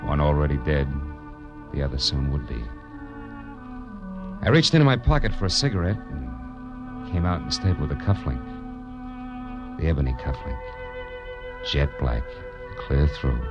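World-weary male private eye, very low, terse narration, dull old radio sound. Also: big pitch swings, measured pace, pauses often, exaggerated voice. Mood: brooding, resigned. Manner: terse, brooding, clipped phrases. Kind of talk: 1940s radio noir first-person narration. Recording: dull 1940s radio recording. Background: prominent dramatic music.

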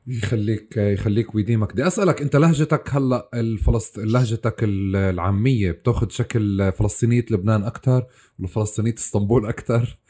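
A man hosting an interview in a relaxed, joking way. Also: fairly low pitch, fast. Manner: relaxed, joking, laughs easily. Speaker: interview host. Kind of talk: casual interview chat.

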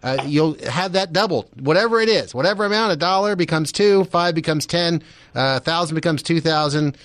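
An American man working as a radio host, with a voice pitched a little high for a man. He moves between interview questions and announcements in an informal, loosely phrased way. The sound is close and dry, with no room echo. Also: brisk, a bit bright.

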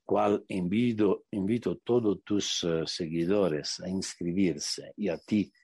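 A man with an Italian accent, a native Italian speaker, giving a radio interview as a meditation teacher and often pausing to search for the right word. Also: deep voice, fairly even intonation, creaky, a little mellow, husky, no room sound, clean studio sound. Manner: searching for words, slowing to stress points. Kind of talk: reflective interview answers.

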